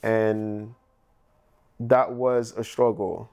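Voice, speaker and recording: A man's voice: on the low side, little pitch movement, drawn-out words, a little mellow, clear, resonant tone. A young man from Haiti with a Haitian accent, a painter in a documentary interview, speaking reflectively with loose phrasing and many fillers. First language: Haitian Creole.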